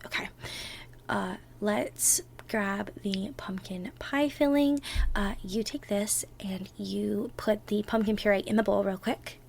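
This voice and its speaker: A woman's voice: mid-range voice, steady tone, slow pace, creaky, darkish tone, a little hiss or hum. A woman playing an affectionate, playfully bossy girlfriend in a one-sided ASMR roleplay, chatting and thinking aloud as she bakes. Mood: focused, soft. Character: bubbly, bossy, affectionate young girlfriend baking for Thanksgiving. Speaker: roleplay audio performer.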